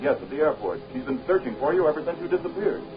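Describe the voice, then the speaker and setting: An actor playing a young, confident American adventure hero in a 1930s film serial, speaking in a direct, matter-of-fact way. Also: male voice, a little high, animated, fast talker, natural voice. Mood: alert, urgent. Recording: dull 1930s film soundtrack, faint music.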